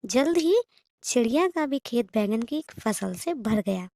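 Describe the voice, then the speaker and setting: A woman's voice: on the high side, expressive, a little slow, a little mellow. A woman narrating a children's moral story in a scripted storytelling style.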